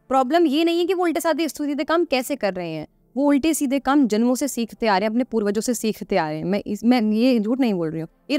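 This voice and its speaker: A woman's voice: sits high, darkish tone, no echo. A woman guest on a podcast, explaining earnestly and conversationally, repeating phrases for emphasis and posing rhetorical questions.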